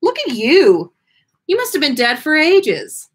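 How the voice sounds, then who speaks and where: A woman voicing a joyful, energetic young female ghost with a gushing, breezy, casual way of talking in a story. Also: sits high, a little slow, voice pushed up, heavily acted. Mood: bubbly, excited.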